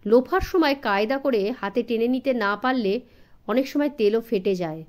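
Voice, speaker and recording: A woman's voice: fairly high pitch, animated, normal speed, darkish tone, close to an everyday voice. Woman reading as an assured male detective. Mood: instructive, assured. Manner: instructive, assured. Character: clever male detective, confident elder brother explaining deductions.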